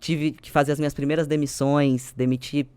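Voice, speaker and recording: Casting: Brazilian female executive as podcast guest, smooth clear voice, dry close sound, conversational storyteller. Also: low-pitched, level tone, a little fast, darkish tone, no room sound.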